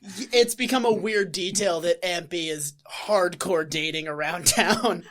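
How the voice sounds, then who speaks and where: A man's voice: high-pitched, unhurried. A man co-hosting a podcast, joking in casual chat and often laughing. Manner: laughing, animated, jokey. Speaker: podcast co-host.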